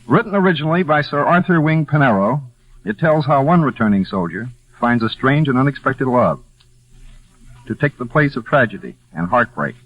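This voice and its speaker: A man's voice: medium pitch, no echo. A man presenting a 1940s radio play with formal, solemn scripted narration. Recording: dull vintage 1940s radio recording.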